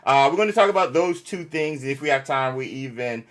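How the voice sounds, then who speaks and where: A man's voice: medium pitch, lively intonation, a little fast, fairly bright tone. A man hosting a livestream, chatting casually and playfully with viewers in informal slang. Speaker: live stream host.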